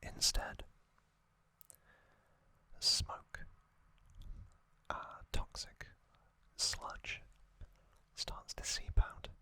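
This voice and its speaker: An ASMR host whispering an explainer in a hushed delivery, with frequent pauses. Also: low-quality recording.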